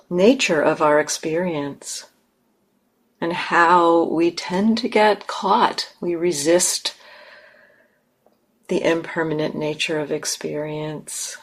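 A woman leading a guided meditation in measured, instructive phrases with long pauses. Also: sits low. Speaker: meditation teacher.

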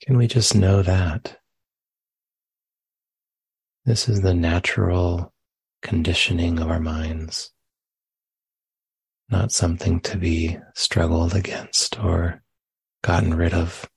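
A man leading a guided meditation as a teacher, speaking gently in short phrases with long, unhurried pauses. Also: deep voice, fairly even intonation, vocal fry, husky, dry recording. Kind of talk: guided meditation, spoken live.

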